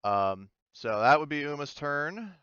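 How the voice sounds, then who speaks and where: A man's voice: fairly high pitch, big pitch swings, measured pace, fairly bright tone, clear, resonant tone, dry recording, muffled recording. A man acting as game master, narrating a tabletop game casually and hesitantly as he thinks his rulings through aloud.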